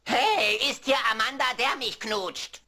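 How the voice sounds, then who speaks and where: A man voicing a grumpy, short-tempered middle-aged bartender in a dubbed cartoon, gruff and blustering. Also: very high voice, unhurried, sharp tone, heavily acted. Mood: calling out, puzzled. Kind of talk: German-dubbed cartoon acting.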